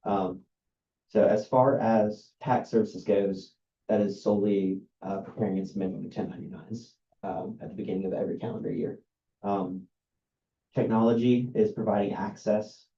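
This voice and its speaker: A man, an outside accountant, reading a list of services aloud at a meeting in a dull, monotone way, with many ums and uhs. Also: lowish voice. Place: meeting room. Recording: roomy, dull lo-fi meeting recording.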